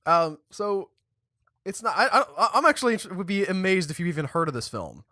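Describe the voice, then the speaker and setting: Lively, bright-voiced man podcast host. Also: high voice, brisk, no room sound, clean studio sound. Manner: chatty, animated, restarts and stumbles mid-sentence. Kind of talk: casual chat.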